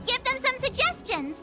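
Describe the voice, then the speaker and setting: A woman's voice: very high voice, exaggerated voice. A woman voicing a bubbly, teasing young woman adventurer in a game. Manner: bouncy and teasing. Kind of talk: game voice acting. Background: game soundtrack music.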